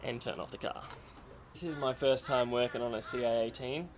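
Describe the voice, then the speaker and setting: A young man talks through servicing his car in a relaxed, explaining way, his voice slightly croaky. He speaks at an ordinary mid pitch. Heavy outdoor noise sits under the recording. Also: fairly quick. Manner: chatty, explains as he works. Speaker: car project vlogger. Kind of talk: casual how-to car vlog. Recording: loud outdoor background noise.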